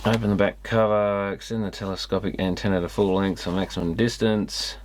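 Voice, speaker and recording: A man's voice: fairly low pitch, fairly even intonation, brisk, a little hiss or hum. A man hosting a repair video, casually commenting and reading a manual aloud with muttered asides. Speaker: host of an electronics repair video channel.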